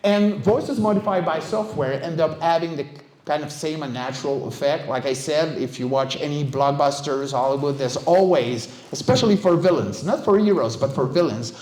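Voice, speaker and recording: A man's voice: fairly high pitch, normal speed, darkish tone. Middle-aged man presenting in an echoey room. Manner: conversational, emphatic, often checks with listeners. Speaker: guest speaker, vocal stunt performer and director. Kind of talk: casual conference talk. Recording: roomy, echoey live talk recording.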